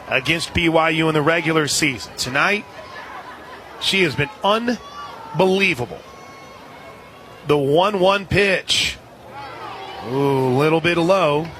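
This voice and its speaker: A man doing live radio play-by-play of softball, excitable, in short punchy calls from an outdoor stadium. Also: on the high side. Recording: live outdoor stadium broadcast, crowd noise. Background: loud crowd and ballpark ambience.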